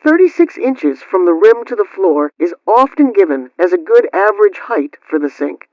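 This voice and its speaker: A woman's voice: mid-range voice, big pitch swings, moderate pace, highs cut off, heavy background noise, lightly acted. A woman playing a prim 1920s radio homemaking host, reading household advice in a formal, instructional way over a band-limited old-radio sound. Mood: formal, instructive.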